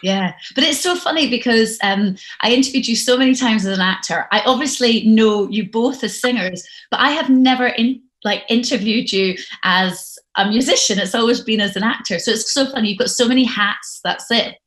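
A woman's voice: on the high side, moderate pace, hardly any pauses, fairly bright tone. A British woman in her forties interviewing guests in a casual, chatty way over a video call from home. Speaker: radio interview host. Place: living room at home, indoors. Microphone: video call device, at arm's length.

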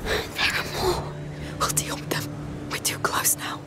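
An actress voicing a determined young heroine in a game, talking in hushed, terse, urgent whispers. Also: female voice, a little slow, lightly acted. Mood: whispering tense. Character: tense young woman hero, whispering, determined. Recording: game audio with loud score.

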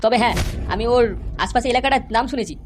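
A man's voice: extremely high pitch, fast, a little hiss or hum. A man voicing a grumbling, crude but loyal gang underling in a comedy cartoon. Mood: defensive.

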